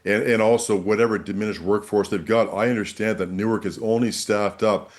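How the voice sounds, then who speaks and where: A man with a fairly low voice gives analysis as an expert guest on a news program. He explains in a conversational way and repeats words for emphasis. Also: fairly even intonation, a little fast.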